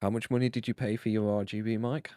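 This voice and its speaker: Deep-voiced British man, teasing and argumentative. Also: little pitch movement, fast talker, dark tone, no echo, clean studio sound. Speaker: gamer friend on a voice call. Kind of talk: casual banter over a game stream.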